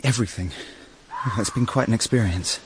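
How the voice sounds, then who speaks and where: An actor playing an earnest, soft-hearted young Roman tribune, polite in manner, in a radio drama. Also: male voice, on the low side, a little fast, slight background noise, natural voice. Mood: urgent, troubled.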